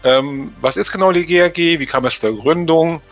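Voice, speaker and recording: A man's voice: fairly high pitch, normal speed, soft background music. A man hosting a podcast, moderating an interview with guests. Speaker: podcast host.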